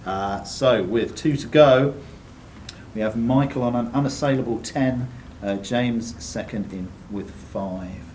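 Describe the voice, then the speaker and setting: A man's voice: mid-range voice, measured pace, darkish tone. A man reading out quiz questions as quizmaster in a club room, with brief banter between scripted questions. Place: sports and social club room. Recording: distant room mic, faint background noise.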